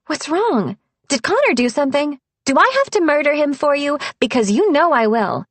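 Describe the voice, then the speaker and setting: A woman voicing a bubbly, fast-talking young woman who is the narrator's best friend, chattering with cheer. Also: fairly high pitch, animated, no echo, voice pushed up. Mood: alarmed. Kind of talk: audiobook character voice.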